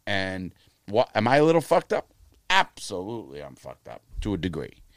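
A man's voice: sits low, normal speed, no room sound. A man in his fifties telling blunt, profane stories as an interview guest. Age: 50s. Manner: blunt, profane, animated storyteller. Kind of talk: casual interview storytelling.

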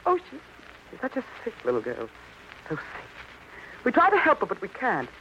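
A woman's voice: fairly high pitch, huge pitch swings, moderate pace, fairly bright tone, exaggerated voice. An actress with an American accent playing a cool, sardonic dentist's wife and mother in an arch, theatrical old-time radio crime drama style. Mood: bitter, overwrought. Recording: muffled noisy old radio recording.

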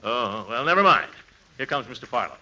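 Pompous uncle, wildly swooping voice. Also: male voice, medium pitch, sing-song, a little fast, bright, forceful tone, a little hiss or hum. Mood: hearty, self-satisfied. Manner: blustery, pompous, chuckling. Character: pompous middle-aged uncle running for office, blustery and hearty. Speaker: lead actor in a radio comedy. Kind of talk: 1940s radio comedy acting. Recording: muffled old radio recording.

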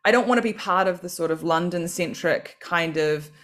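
A woman's voice: fairly low pitch, normal speed, a bit bright. A woman from New Zealand, a comedian and podcast guest, talking in a chatty, self-deprecating way and laughing often. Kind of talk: casual podcast interview.

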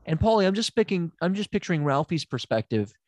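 A man's voice: on the high side, very animated, fast, a little mellow, dry recording. A man playing a smug, unqualified therapist who rambles and stammers in an improvised comedy sketch. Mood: probing. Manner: rambling, stammering, self-assured.

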